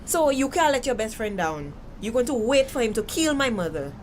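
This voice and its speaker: A woman's voice: on the high side, big pitch swings, brisk. A woman with a Caribbean accent playing an outspoken, insistent young daughter in a TV drama. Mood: exasperated, insistent. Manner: insistent, challenging, questioning. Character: outspoken young woman, anxious daughter pleading for her mother. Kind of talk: TV drama dialogue. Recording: clearly noisy background ambience.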